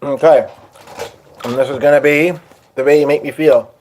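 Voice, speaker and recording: A man's voice: a little high, fairly even intonation, normal speed, darkish tone, a little hiss or hum. A man live-streaming from home at his piano, chatting loosely to his viewers as he sets up to play. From Hungarian. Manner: rambling, talks to viewers while setting up. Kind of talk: casual live-stream chat. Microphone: phone.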